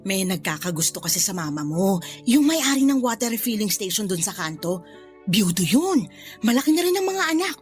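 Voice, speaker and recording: Meddling, sharp-tongued Filipino aunt for radio drama, played by a woman: tactless, emphatic, very animated pitch. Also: moderate pace, close to an everyday voice. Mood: teasing, self-justifying. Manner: blunt, brazen, swooping emphatic delivery. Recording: music behind the voice.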